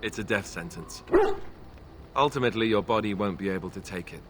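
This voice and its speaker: An actor playing a wry, boyish, self-deprecating young warrior in a video game, speaking in a conversational, sardonic way. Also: male voice, fairly low pitch, brisk, very noisy, natural voice. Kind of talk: video game character dialogue.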